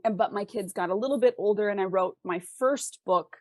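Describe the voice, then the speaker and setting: A woman's voice: mid-range voice, a little fast, no echo, clean studio sound. A talkative middle-aged woman chatting casually and expressively about her own work as a fantasy author being interviewed.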